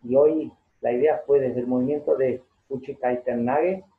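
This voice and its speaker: A man's voice: medium pitch, fairly even intonation, normal speed. A man, an aikido instructor calling out moves in short rhythmic counts and then explaining at home. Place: small room at home with a mat. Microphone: camera across the room. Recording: tinny, echoey, from far off.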